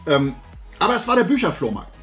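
A man's voice: sits high, big pitch swings, fast, fairly bright tone, faint music behind. A middle-aged man chatting casually and enthusiastically in a rambling haul vlog. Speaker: YouTube host and collector. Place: indoor room full of shelved toys.

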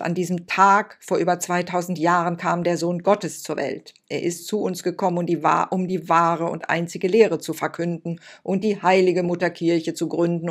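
A woman voicing a stern, pompous old male priest who scolds and preaches indignantly. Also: on the low side.

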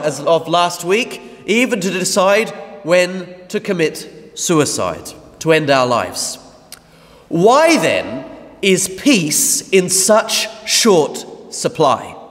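A man in his thirties, a parish priest, preaching a measured, emphatic sermon with dramatic pauses from a lectern in a large church. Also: high-pitched, a bit bright. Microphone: mounted lectern mic. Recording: long church reverb.

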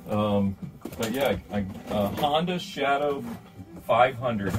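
A man's voice: medium pitch, slow pace, distant mic. An older man, a retired police officer, telling stories in a chatty, enthusiastic way with many fillers, inside a small boat cabin. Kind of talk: casual storytelling chat.

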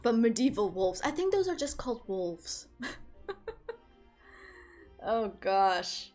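A woman's voice: a little high, very animated, slow, a little mellow, faint music behind. A young woman from Portugal streaming from a small room on a headset, chatting casually with her viewers, giggly and rambling. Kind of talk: casual chat with live chat. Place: small room indoors at a desk.

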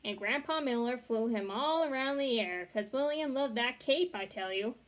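High-voiced young woman reading aloud. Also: normal speed. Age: young adult. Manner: expressive reading with occasional stumbles and self-corrections. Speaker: read-along storyteller. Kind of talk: reading a children's chapter book aloud. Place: small bedroom, indoors.

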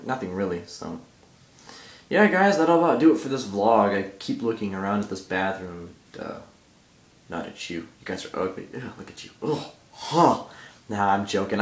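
A teenage boy with a somewhat low voice rambles into a handheld iPod camera held at arm's length. He talks loosely and jokily, losing his thread. The small tiled bathroom gives the sound a hard, echoing ring. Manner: rambling, easily distracted, jokey. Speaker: gaming vlogger. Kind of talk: casual rambling vlog. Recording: echoey bathroom.

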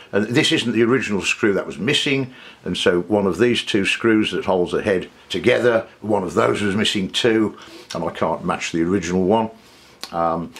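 A man with a husky voice, informally demonstrating old audio equipment in a hesitant, conversational way. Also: fairly low pitch. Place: indoor room with record player. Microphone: clip-on mic, not near enough.

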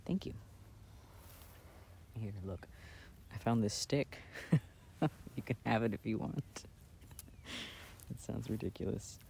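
A man playing an affectionate, playful boyfriend in a casual, intimate ASMR roleplay, speaking softly and hesitantly, recorded outdoors. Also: fairly low pitch, slow pace, gravelly, pauses often. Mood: playful, teasing. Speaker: amateur audio roleplay performer. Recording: outdoor ambient noise.

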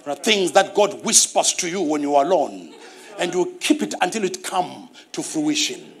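A middle-aged man with a Zimbabwean accent preaching a sermon on a church stage, animated and repeating key phrases, calling for responses. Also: a little high, normal speed. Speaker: pastor.

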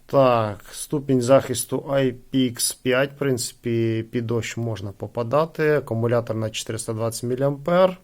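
A man's voice: mid-range voice, normal speed, hardly any pauses. A man giving casual, conversational unboxing commentary as a host, hesitating and leaning on filler phrases. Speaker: product unboxing host and reviewer.

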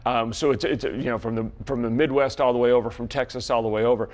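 A man's voice: medium pitch, steady tone, quick pace, a little mellow. A middle-aged American man, a coach answering questions in a sports interview with a folksy, repetitive way of explaining. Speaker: softball coach. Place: small indoor locker-style studio set.

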